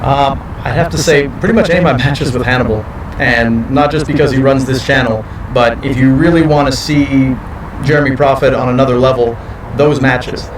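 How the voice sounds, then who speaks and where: A man's voice: mid-range voice, fairly even intonation, fairly quick, recorded from a distance, background noise. A male professional wrestler giving confident, boastful interview answers. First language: not French.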